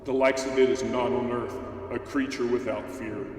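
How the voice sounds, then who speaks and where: A man's voice: medium pitch, steady tone, moderate pace, a little mellow, close to an everyday voice. A stern, almighty God, acted by a man in an amateur Bible drama, solemn and commanding. The voice rings through a very echoey hall, sounding distant, with faint noise underneath. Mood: majestic, challenging. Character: God, stern all-powerful male deity rebuking mortals. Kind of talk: amateur church dramatic reading of scripture.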